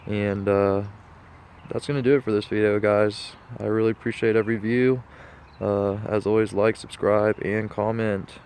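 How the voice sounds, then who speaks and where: A man's voice: on the low side, flat delivery, moderate pace, darkish tone, a little hiss or hum. A man with a stuffed-up nose, talking casually and rambling with lots of fillers. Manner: laid-back, rambling with many fillers. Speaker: diecast model collector vlogger. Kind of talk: casual walkthrough talk.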